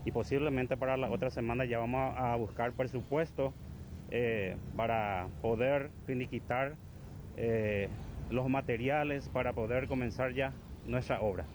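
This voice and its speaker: A man, talking slowly and with many pauses in a street interview about his chapel community. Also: mid-range voice, steady tone, noisy background. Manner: slow, hesitant, many fillers. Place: outdoors near a government office.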